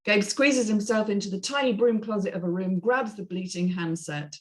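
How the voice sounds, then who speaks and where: A woman's voice: medium pitch, normal speed. A woman reading scripted screenplay narration and stage directions as the narrator of a drama table read.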